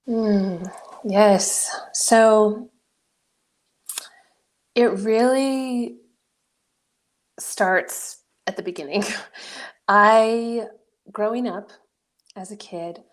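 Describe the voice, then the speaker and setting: A woman in her forties from New Mexico, a guest coach telling her life story in an interview, reflective and animated, often laughing. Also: medium pitch, very slow, long pauses. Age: 40s.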